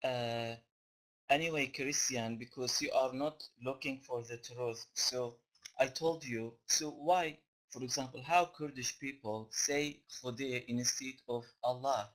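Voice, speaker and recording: A man calls into a debate. He speaks at a middle pitch. His delivery is slow, quiet and halting. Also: steady tone, low-quality recording. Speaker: caller.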